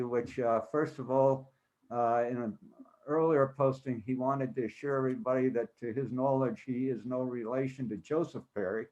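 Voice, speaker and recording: A man's voice: medium pitch, darkish tone, low-quality recording. A man hosting a casual panel discussion over a video call, rambling a little as he backtracks and repeats himself. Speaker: panel moderator.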